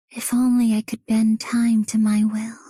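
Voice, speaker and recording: A woman's voice: on the high side, mellow, husky, no echo, studio quality. A woman voicing a doting, yearning mystical character in a video game. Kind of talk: game character voice acting, anniversary message.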